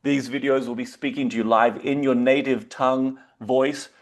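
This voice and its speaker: Aussie guy running a business webinar, mid pitch, lots of uh's. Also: steady tone, moderate pace. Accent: Australian. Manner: loose, hesitant with frequent uh fillers. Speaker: webinar host. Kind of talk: casual presentation.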